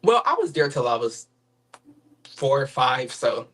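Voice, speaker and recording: A young man guesting on a podcast, earnest and measured as he shares his story. His voice has a husky edge, and his pitch sits at an average level. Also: sing-song, a bit bright. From Mississippi, USA. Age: young adult. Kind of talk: sharing a personal testimony.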